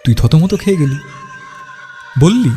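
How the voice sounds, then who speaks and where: Animated, higher-pitched male horror narrator. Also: unhurried. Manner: suspenseful, storytelling. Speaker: story narrator. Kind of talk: dramatic scripted horror narration. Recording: music behind the voice. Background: music.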